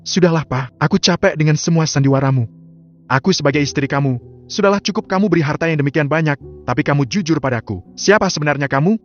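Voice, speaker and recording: A fed-up, scornful wife, as a male storyteller puts her on, her voice pitched up and cutting. She fires sharp, accusing questions. The sound is a little muffled, with soft music underneath. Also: quick pace, lightly acted.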